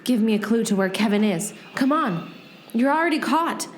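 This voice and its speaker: A woman's voice: a little high, animated, moderate pace, close to an everyday voice. A woman playing a bossy, self-assured game master turned sleuth in a hammy comic radio play. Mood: confident, commanding. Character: bossy, confident young female game master and sidekick sleuth. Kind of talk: comedic radio play acting. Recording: noisy recording with clicks.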